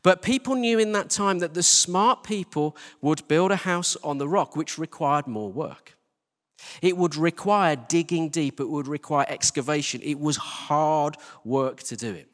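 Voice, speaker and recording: A male preacher with a fairly high voice speaks in a long, churchy reverb. He delivers a conversational sermon with emphatic phrasing. He poses rhetorical questions and repeats key words to drive them home. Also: a little slow. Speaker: church team leader preaching. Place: large reverberant indoor church space.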